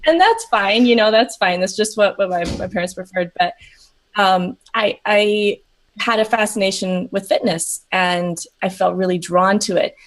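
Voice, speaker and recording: Woman from Peru, coach, interview guest, earnest, reflective, halting restarts, mid-pitched. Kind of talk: casual interview chat.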